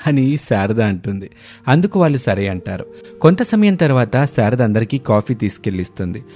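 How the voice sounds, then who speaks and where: A man's voice: mid-range voice, very animated, moderate pace, mellow. A man reading a scripted children's story as its narrator. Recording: music under the voice. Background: background music.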